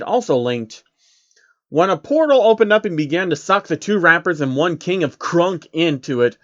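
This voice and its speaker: A man's voice: a little high, dull audio. A man hosting and narrating a comedic fan fiction reading, with sarcastic asides. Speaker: channel host and narrator.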